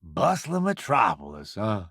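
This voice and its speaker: Wildly swooping, cheeky vain celebrity. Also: male voice, lowish voice, wildly swooping pitch, creaky, fairly bright tone, no room sound, clean studio sound, full character voice. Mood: wry, chummy. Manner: theatrical, wry, smug banter with drawn-out emphasis. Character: vain, flamboyant celebrity columnist turned space crewmate, cheeky charmer. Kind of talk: video game companion dialogue.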